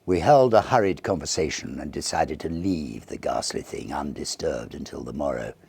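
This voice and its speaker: A man reading a Victorian ghost story as an audiobook narrator, theatrical and expressive, with deliberate phrasing. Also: extremely low pitch, vocal fry, breathy edge, no echo.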